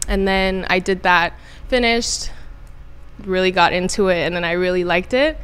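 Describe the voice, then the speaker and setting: Smooth-voiced young woman, hesitant chat. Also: lowish voice, moderate pace, fairly bright tone. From Ghana. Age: 20s. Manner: hesitant, many ums and restarts. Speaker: guest. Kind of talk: casual interview chat.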